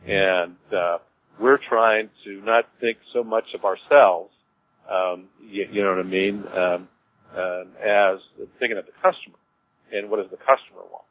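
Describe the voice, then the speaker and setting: A man answering interview questions informally as a business executive, in a halting way, repeating words as he explains. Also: lowish voice, steady tone. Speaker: cemetery executive being interviewed. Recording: dull lo-fi audio with clear noise.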